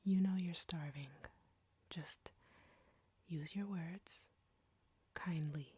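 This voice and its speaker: Female vampire captor, acted by a woman, audio roleplay: low, close and dry, whispery, slow, smug and flirtatious. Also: warm tone, no echo, full character voice. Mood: smug teasing. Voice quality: breathy, whispery. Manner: slow, taunting, condescending.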